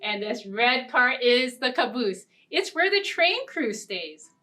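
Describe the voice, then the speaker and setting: A young woman leads a storytime for little children in a high voice. She talks slowly and deliberately, in simple phrases. She is picked up from a little way off, with prominent ukulele music. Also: very animated. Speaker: children's library storytime host. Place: indoor storytime set. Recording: distant mic, ukulele music. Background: ukulele strumming.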